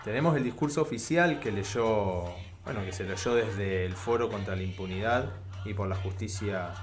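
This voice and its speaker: Low-voiced Argentine radio presenter, male. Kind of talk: radio commentary and interviewing. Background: music.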